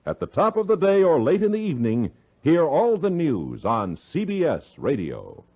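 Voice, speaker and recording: A man's voice: on the high side, wildly swooping pitch, normal speed, husky. An American man announcing in formal, emphatic 1950s radio style from a script. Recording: muffled old radio, music behind.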